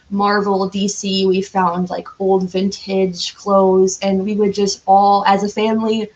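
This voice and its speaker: A woman's voice: medium pitch, highs cut off. A woman from the United States chatting casually as a podcast guest, rambling and correcting herself as she goes. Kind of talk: casual chat.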